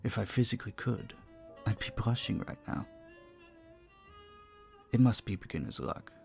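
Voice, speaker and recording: A man playing a charming, polite vampire bartender in an intimate audio roleplay, soft-spoken and slightly formal. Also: on the low side, lively intonation, moderate pace, creaky, faint music behind. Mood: flirtatious, modest. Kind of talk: audio roleplay, intimate one-sided dialogue.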